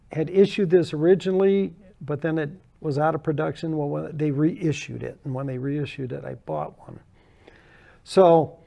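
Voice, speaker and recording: A man's voice: fairly high pitch, gravelly, warm tone. An older American man, a guest in an interview, chatting casually and telling anecdotes about his hobby with frequent laughs. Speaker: guest model builder.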